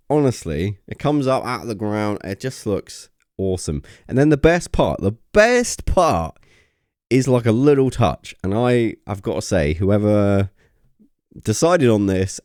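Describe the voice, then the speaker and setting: Male gaming host, lowish pitch, excitable rambler, slightly tired voice, close dry mic. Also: no echo. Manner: enthusiastic, rambling with many fillers and restarts. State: voice getting worn. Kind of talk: casual vlog commentary.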